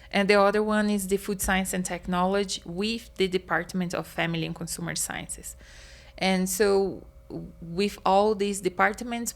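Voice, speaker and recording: A woman, a meat science professor answering interview questions in an earnest, explanatory, slightly halting way. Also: on the low side, gravelly. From Brazil.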